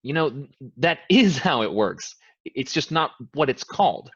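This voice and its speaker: Husky male voice, swooping intonation, cocky blunt schemer acted by a man, stage play reading, dull recording. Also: mid-range voice, big pitch swings, a little slow, a bit bright, heavily acted. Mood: defensive, argumentative.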